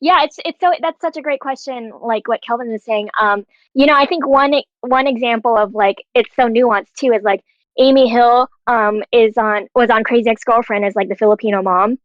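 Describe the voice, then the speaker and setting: A woman's voice: on the high side, moderate pace, no room sound, dull audio. A woman chatting casually in a panel discussion, candid and informal, with plenty of "like" in her phrasing. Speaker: actor and writer on a panel.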